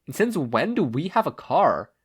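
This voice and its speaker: A man plays an earnest teenage boy hero for a story reading. The voice jumps up high and moves quickly between notes, emotional and easily amazed. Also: very animated, husky. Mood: surprised.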